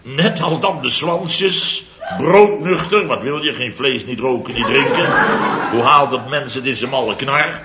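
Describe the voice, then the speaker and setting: Guy doing a loud blustery contractor, fifties, chuckling as he tells it, echoey old lo-fi radio with a laughing crowd. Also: medium pitch, a little slow, close to an everyday voice. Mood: blustering, amused. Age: 50s. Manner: theatrical storytelling, chuckling through lines. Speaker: contractor boss Biels. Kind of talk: 1960s radio comedy serial. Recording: dull old broadcast recording, studio audience laughter. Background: audience laughter.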